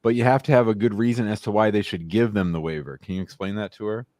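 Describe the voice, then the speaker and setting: Guy hosting a live law show, low voice, rambly and chatty, dry close sound. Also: fast talker, a little mellow, no echo. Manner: chatty, rambling, self-correcting. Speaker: immigration lawyer, show host. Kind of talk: casual live-stream talk show.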